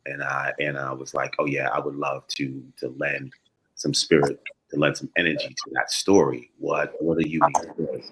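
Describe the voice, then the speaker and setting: A man speaking casually as an interview guest, in a reflective, earnest and somewhat halting way. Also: a bass voice, little pitch movement, fairly bright tone, a little hiss or hum, low-quality recording.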